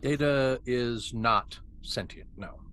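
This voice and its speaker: A man's voice: medium pitch, takes it slowly, fairly bright tone. A man reading the part of a stubborn, self-assured scientist giving curt testimony as a hostile witness in a table read. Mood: dismissive. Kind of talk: amateur script table read. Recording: clear background noise, room ambience.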